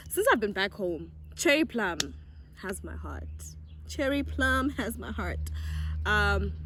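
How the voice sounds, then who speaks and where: A woman's voice: medium pitch, fairly bright tone, slight background noise. A young woman with a Zimbabwean accent, chatting casually and answering viewer questions, bubbly and giggly. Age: young adult. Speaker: YouTuber. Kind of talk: casual get-to-know-me chat.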